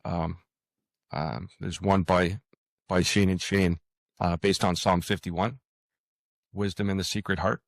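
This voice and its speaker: A man talking casually as an interview guest, halting often and repeating words as he thinks out loud. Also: sits low, steady tone, gravelly, dry recording. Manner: hesitant, halting, with frequent pauses and repeated words.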